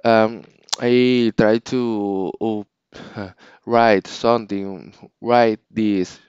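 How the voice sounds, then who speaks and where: Slow, halting man with a Spanish accent. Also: on the low side, steady tone, a little mellow, clean tone, no room sound, lo-fi sound. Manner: halting, searching for English words, frequent restarts. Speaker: channel owner. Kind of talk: casual unscripted vlog talk.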